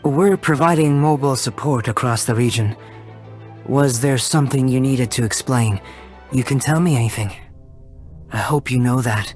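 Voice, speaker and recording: A man plays a polite young scholar in a video game. His voice is mid-pitched, calm and measured, with faint music underneath. He comes across as reassuring. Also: close to an everyday voice. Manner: measured, courteous.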